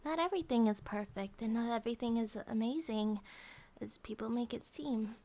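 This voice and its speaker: A woman voicing a gentle, affectionate monster-girl bear wife in a soft ASMR comfort roleplay, often dropping to a whisper. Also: on the high side, a little fast, lightly acted. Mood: soothing, loving.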